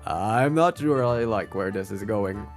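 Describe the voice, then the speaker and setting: A man's voice: fairly low pitch, lively intonation, unhurried, soft background music. A man voicing a wary, smitten visual novel protagonist with dry, dramatic delivery.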